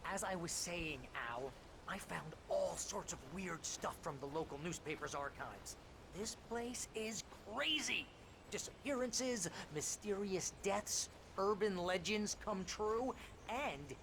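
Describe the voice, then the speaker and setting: A chatty, brash sidekick in a game. He is excitable, with clear ambient noise around his voice. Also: high-pitched, slow pace, exaggerated voice. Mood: excited.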